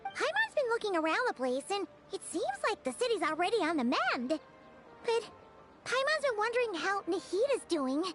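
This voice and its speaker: A woman voicing a tiny, hyper, childlike fairy companion in a video game, squeaky and bubbly, referring to herself by name. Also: very animated, moderate pace. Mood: upbeat. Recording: loud background music.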